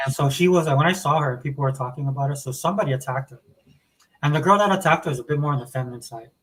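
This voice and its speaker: A man's voice: a little high, very fast, a bit bright, rough recording. A man raised in the US South, originally from Hawaii, co-hosting a casual livestream chat, rambling and opinionated with frequent restarts. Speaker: co-host of a gaming podcast.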